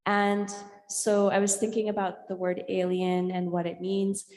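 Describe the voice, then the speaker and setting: A woman from India, a native Urdu speaker, giving an informal artist talk in a reflective, hesitant way with frequent pauses and ums. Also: mid-range voice, little pitch movement, darkish tone. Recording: echoey hall with long reverb.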